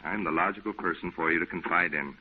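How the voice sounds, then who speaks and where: Deep, hearty actor as a vintage radio pal. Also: male voice, fast talker, a little hiss or hum, close to an everyday voice. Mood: concerned. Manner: hearty, folksy, reassuring. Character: breezy, reassuring male friend, hearty busy office man. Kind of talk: old-time radio drama acting. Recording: muffled, narrow-band old radio recording.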